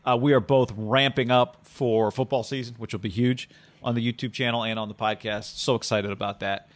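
A man co-hosts a sports podcast in an everyday middle-pitched voice. He talks in a relaxed, halting way, repeating words as he gathers his thoughts. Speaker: podcast co-host. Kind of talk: casual sports chat and show plugs.

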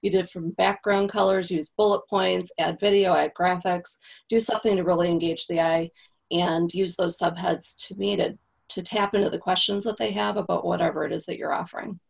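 A woman's voice: fairly low pitch, steady tone, a little fast, vocal fry, breathy edge. A woman guest on a podcast interview, explaining practical tips in a casual, conversational way and checking in with "right?". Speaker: copywriting guest.

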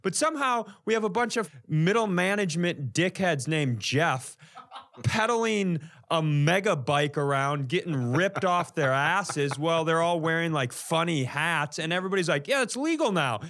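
A man's voice: fairly high pitch, lively intonation, normal speed, a bit bright. A man co-hosting a podcast, telling casual comic stories in a blunt, exasperated, sweary way. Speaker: podcast co-host. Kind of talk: casual comedic storytelling.

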